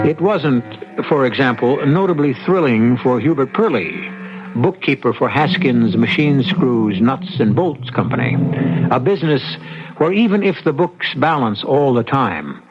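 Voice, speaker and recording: A man narrating a scripted old-time radio drama with wry, measured phrasing. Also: medium pitch, dull audio.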